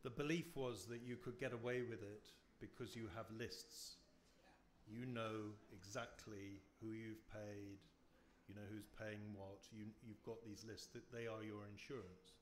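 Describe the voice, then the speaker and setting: A man's voice: fairly low pitch, steady tone, measured pace, slight background noise. A man moderating an interview, asking probing questions with restarts.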